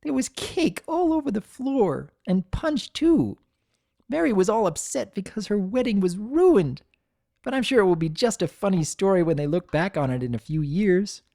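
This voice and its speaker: A man doing a chatty, high-pitched young unicorn mare. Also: big pitch swings, normal speed, creaky, dark tone, dry recording, clean studio sound. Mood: gossipy, amused. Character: young female unicorn servant, chatty, naive, gossipy pony.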